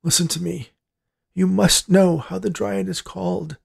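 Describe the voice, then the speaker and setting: A man voicing a frail, dying old grandfather in a story, hoarse, grave and urgent. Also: sits high, fairly even intonation, unhurried, pitched above the performer's own voice, heavily acted. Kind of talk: storybook character voice in narration.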